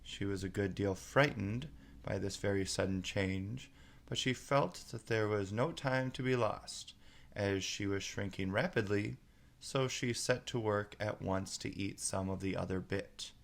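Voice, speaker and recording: A man reads a storybook aloud as narrator. His voice is fairly low with plenty of gravelly creak, and he takes his time over the words. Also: a little slow.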